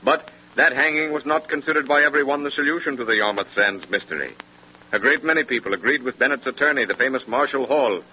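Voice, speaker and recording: A man's voice: mid-range voice, sharp tone. A man narrating a scripted 1930s radio drama in a grave, theatrical manner. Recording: noisy, dull 1930s radio recording.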